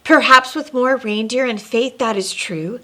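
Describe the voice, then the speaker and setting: A woman storyteller reading a children's picture book aloud expressively, with dramatic pauses and chatty asides as host. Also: on the high side, fairly bright tone.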